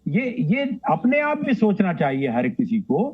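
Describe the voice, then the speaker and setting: A man's voice: high-pitched, wildly swooping pitch, a little slow, breathy edge. A man arguing as a political panelist in a TV debate, emphatic and repeating himself, on a lo-fi feed. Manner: emphatic, repetitive. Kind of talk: unscripted political debate argument.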